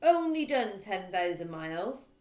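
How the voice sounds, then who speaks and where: A woman voicing a bullying middle-aged headmistress in a storybook, who barks and shouts with open contempt. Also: medium pitch, big pitch swings, a little slow, reverberant. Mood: gruff, brusque.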